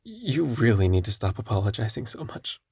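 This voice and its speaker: Husky, low-voiced young man, acted, quietly hesitant. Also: very animated, no echo, studio quality, exaggerated voice. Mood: gentle, wry. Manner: hesitant, halting, understated. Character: young terminally ill male hospital patient, numb, gently self-deprecating. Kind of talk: intimate audio drama acting.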